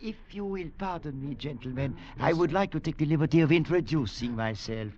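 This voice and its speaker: Man playing a high-voiced, overly polite legionnaire. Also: expressive, brisk, darkish tone, slight background noise, lightly acted. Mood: ingratiating. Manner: formal, obsequious politeness. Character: courteous, ingratiating veteran legionnaire introducing himself. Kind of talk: 1940s radio acting. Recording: muffled 1940s radio recording, voices behind.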